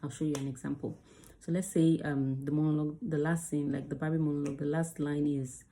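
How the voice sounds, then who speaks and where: Female acting coach, chatty and emphatic, with a low, warm voice. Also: flat delivery, fairly quick, slight background noise. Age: adult under 35. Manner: chatty, emphatic, demonstrates lines. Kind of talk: casual teaching to camera.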